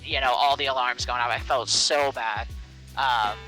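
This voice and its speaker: A young man talks as a guest in a casual interview, with a sharp, very bright voice. He is earnest and encouraging, often repeating a phrase for emphasis. There is faint music behind him. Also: medium pitch, fairly even intonation, normal speed, rough recording. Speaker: interview guest, air traffic controller. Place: small aircraft cockpit in flight.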